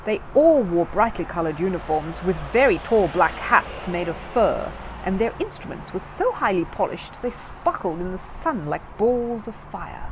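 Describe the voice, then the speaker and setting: A woman's voice: lowish voice, very animated, moderate pace, noisy background. A woman narrating a children's story from a script as a storyteller.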